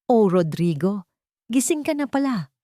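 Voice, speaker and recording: A woman's voice: mid-range voice, sing-song, measured pace, mellow, no room sound, studio quality, voice pushed up. A woman voicing a sweetly manipulative young wife in an audio family drama, with a persuasive manner. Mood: sweet, evasive.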